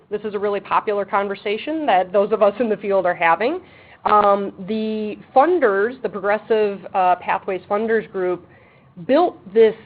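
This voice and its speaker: A woman chairing a webinar for an advisory board, talking briskly and practically with frequent ums. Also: mid-range voice. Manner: says she has a loud voice. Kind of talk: webinar presentation. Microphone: speakerphone on a table. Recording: tinny phone line with faint background noise.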